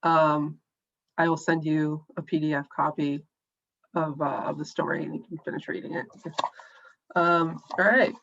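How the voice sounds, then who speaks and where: A woman's voice: low voice, flat delivery, moderate pace, creaky, fairly bright tone, dull audio. A woman, an author, who sounds a little scratchy and nasal while recovering, reading her own story aloud over a video call. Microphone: home computer mic on a video call.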